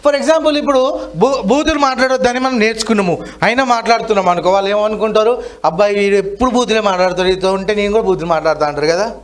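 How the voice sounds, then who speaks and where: A man's voice: high voice, fast. A man teaches a children's Bible lesson interactively, asking questions and prompting repetition. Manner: asks questions and has children repeat.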